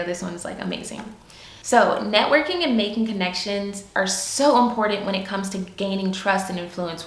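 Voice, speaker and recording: Chatty young woman in an echoey room. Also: medium pitch. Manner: chatty and upbeat, many "like"s. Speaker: YouTube creator, product and project manager. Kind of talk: casual advice vlog.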